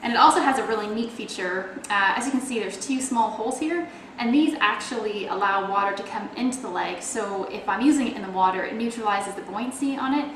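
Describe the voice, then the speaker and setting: A woman's voice: medium pitch, a little fast, a bit bright, echoey room, slight background noise, rough recording. A young woman with a Canadian accent, chatting casually in a video blog and explaining things with plenty of fillers. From Toronto, Canada. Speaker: athlete video blogger.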